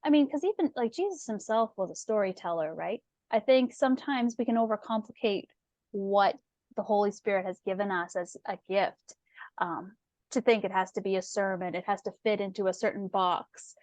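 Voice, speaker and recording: A woman's voice: medium pitch, normal speed, darkish tone, no echo. A woman hosting a conversational author interview in a chatty, affirming way. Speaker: interviewer and host from a book publisher.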